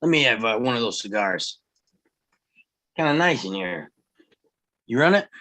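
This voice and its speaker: A man's voice: mid-range voice, sing-song, normal speed, bright, forceful tone. An actor playing a cool, confident, laconic frontier lawman in a screenplay table read. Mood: curious. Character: self-assured western ex-lawman, cool and practical.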